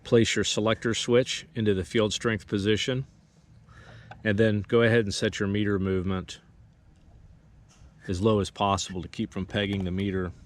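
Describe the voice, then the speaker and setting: A man presenting an explanatory equipment demonstration in a methodical, instructional manner. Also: sits low. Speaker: presenter of radio equipment tutorial.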